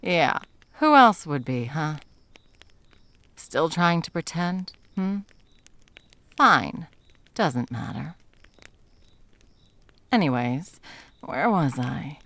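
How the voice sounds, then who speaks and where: A woman's voice: low-pitched, very animated, extremely slow, pauses often, a bit bright, heavily acted. A woman playing a bitter, sarcastic monster hunter and betrayed lover in a dramatic ASMR roleplay, mocking and foul-mouthed. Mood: angry, exasperated.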